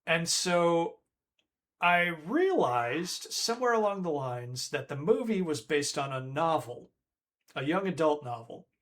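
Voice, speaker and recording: A man's voice: fairly high pitch, animated, a little slow, a bit bright. A man, hosting a retro game stream in a rambling, chatty way that keeps correcting itself. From Northern Ireland. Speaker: streamer host.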